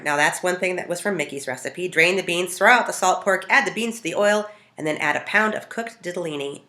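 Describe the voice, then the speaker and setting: An American woman hosts a home cooking video, talking casually and conversationally. Her voice sits low for a woman but has a bright, forward edge. The sound is roomy, with clear echo around her words. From Montana, United States. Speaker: home cook hosting a food video. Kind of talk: casual storytelling to camera.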